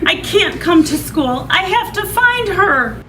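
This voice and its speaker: Woman storyteller as flustered mother, worried, high swooping pitch, storybook. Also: sing-song, slow, pushed and bright, a little hiss or hum, exaggerated voice. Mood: worried, alarmed. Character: worried, flustered mother of two young children.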